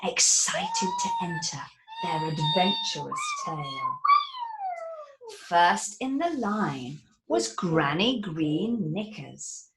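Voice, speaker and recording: Author reading her picture book aloud, slow and dramatic, whispers and gasps, roomy echo. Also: mid-range voice. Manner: expressive, sing-song, with dramatic whispers and gasps.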